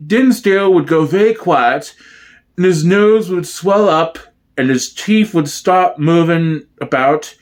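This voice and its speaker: Sketch role: male performer as genteel drag artiste, precise and pompous, highish voice with lively swoops. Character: genteel, pompous female impersonator, gangster's admiring companion. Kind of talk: comedy sketch dramatic reading.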